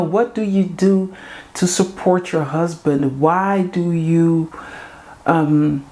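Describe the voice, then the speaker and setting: Woman vlogging to her viewers, low voice, earnest and chatty, drops in ums. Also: darkish tone. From Suriname-Creole family in the Netherlands. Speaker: vlog host. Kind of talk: casual direct-to-camera talk.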